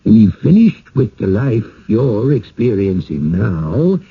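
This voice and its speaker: Through a narrow, phone-like old radio recording comes a courteous village host. He is very raspy and unhurried, with swooping intonation. He fusses politely and persuades gently, in radio drama style. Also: lowish voice, sing-song, exaggerated voice. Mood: gentle, explaining. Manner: courteous, flustered, old-fashioned phrasing.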